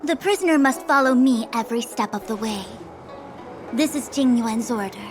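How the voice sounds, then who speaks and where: Haughty, formal high-voiced woman diviner acted. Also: normal speed, music in the background, lightly acted. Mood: haughty, displeased. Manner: haughty, formal, precise diction. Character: proud, formal young female diviner in command. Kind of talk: video game voice acting.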